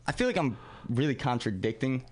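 A man's voice: medium pitch, big pitch swings, a little fast. A man in his twenties with a Baltimore accent, chatting casually as a guest and leaning on "you know what I mean" fillers. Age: 20s. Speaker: guest musician. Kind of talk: casual radio chat.

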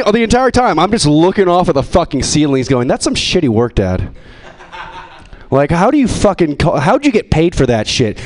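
A man hosting a comedy open mic and doing a crude, offhand stand-up set. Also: fairly high pitch. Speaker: comedy show host.

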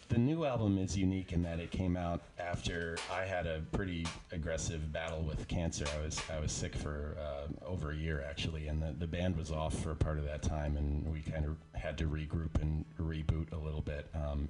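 A man's voice: extremely low pitch, flat delivery, a little fast, hardly any pauses, background noise. A man in a casual radio studio chat, joking and rambling with frequent restarts as he talks about his band. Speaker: musician guest and bandleader.

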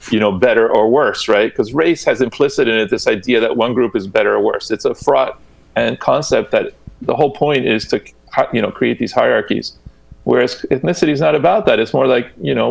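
Gravelly man explaining ideas in conversation. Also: mid-range voice, poor audio. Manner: explanatory, often checks in with "right?". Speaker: guest researcher.

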